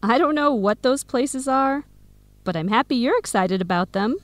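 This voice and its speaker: A woman's voice: fairly high pitch, expressive, moderate pace, no echo, natural voice. A woman acting a friendly, supportive young friend in a conversational radio drama scene.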